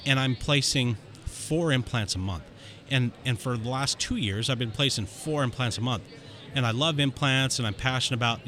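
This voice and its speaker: A man guest on a podcast, candidly telling his story in an animated way, with quick rushes of speech. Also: mid-range voice. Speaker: dentist guest. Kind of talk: casual interview storytelling.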